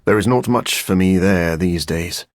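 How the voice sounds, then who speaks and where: An actor playing a brooding, scholarly vampire in a video game, a man with a husky, gravelly voice and a dry, formal manner. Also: sits low, a little slow, no room sound. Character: brooding, scholarly living vampire scientist, grim and awkward, adult man. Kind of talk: video game character acting.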